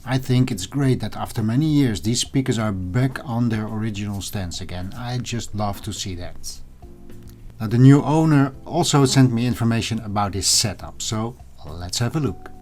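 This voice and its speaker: Low-pitched man narrating over music. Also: moderate pace, a little mellow. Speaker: host of an audio hobby channel. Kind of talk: video narration explaining hi-fi gear. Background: background music.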